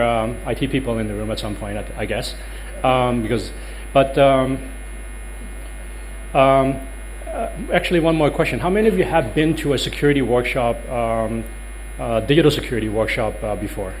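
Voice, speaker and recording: A man's voice: medium pitch, normal speed, a little hiss or hum, low-quality recording. A man in his thirties with a Dutch accent giving a workshop talk in a conference room, hesitant with many ums and uhs. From Netherlands. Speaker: lecturer.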